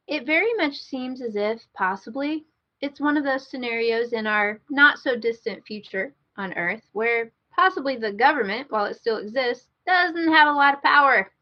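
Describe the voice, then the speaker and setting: A woman's voice: a little high, lo-fi sound. A woman co-hosting a podcast in casual, unscripted chat, hesitating and repeating words for emphasis as she gives a recommendation. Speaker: podcast co-host giving a recommendation.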